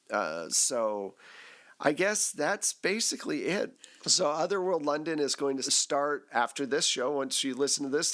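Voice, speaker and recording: A man with a medium-pitched voice hosts a casual podcast debrief. He talks conversationally and jokes easily, like a game master chatting with his players. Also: fairly bright tone.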